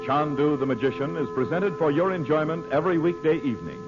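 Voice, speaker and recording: A man's voice: fairly high pitch, animated, fast talker, over loud music. A man announcing and reading advertising on vintage radio in a polished, emphatic manner. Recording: muffled old radio broadcast.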